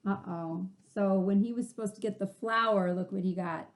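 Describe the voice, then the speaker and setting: A woman hosting a children's read-aloud, expressive and playful, pausing to explain things in casual asides. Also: medium pitch. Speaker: story reader and host.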